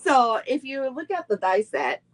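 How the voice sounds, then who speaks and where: A woman's voice: high voice, animated, a little slow. A middle-aged woman teaching a live online card making class, walking through each step unhurriedly and greeting viewers between steps.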